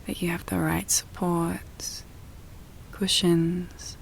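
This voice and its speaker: A woman guiding a relaxation meditation in a soft, breathy, often near-whispered voice, soothing and full of long pauses. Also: lowish voice, little pitch movement, low-quality recording. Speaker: yoga nidra meditation guide.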